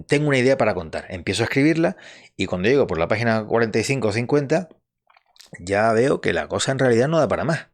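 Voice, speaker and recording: A man, a novelist giving casual advice on writing, talking in a rambling way with restarts and turning emphatic on key points. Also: on the low side. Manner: conversational, rambling with restarts and fillers; emphatic and earnest when stressing a point.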